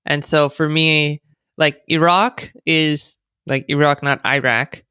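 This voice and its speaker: American woman guest, measured and explanatory, clean dry recording. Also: deep voice, steady tone, bright, forceful tone, smooth, no echo. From United States. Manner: thoughtful, speaks in long explanatory runs with fillers. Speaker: guest, diversity consultant. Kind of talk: conversational interview.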